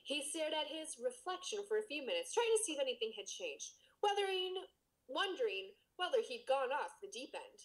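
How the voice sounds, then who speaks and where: Lively, high-voiced woman podcaster. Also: a little fast, low-quality recording. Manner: playful, teasing. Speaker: podcast co-host. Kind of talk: casual banter and humorous read-aloud.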